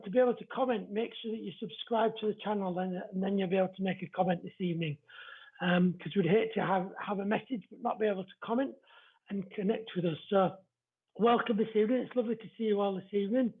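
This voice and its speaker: A man with an East Yorkshire accent chatting casually and politely as he welcomes viewers to a live mediumship show he hosts. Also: high-pitched. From East Yorkshire, England. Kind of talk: casual livestream chat greeting viewers. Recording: dull, lo-fi livestream audio.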